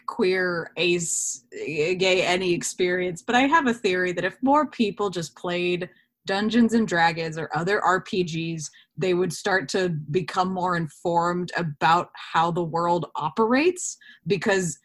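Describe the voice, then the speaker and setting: A woman talking casually in an interview, acting out imagined quotes as she explains things. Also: fairly low pitch. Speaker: interview guest, actor.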